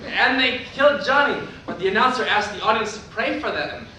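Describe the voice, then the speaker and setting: An actor playing an excitable young boy who gushes like a child, in a staged play reading. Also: high voice, a little fast, a loud crowd. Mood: excited. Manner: gushing, exclaiming like a child. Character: excitable young boy, wrestling-mad son of a preacher. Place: indoor theatre stage. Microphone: distant camera microphone. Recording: echoey stage recording from far off, faint noise.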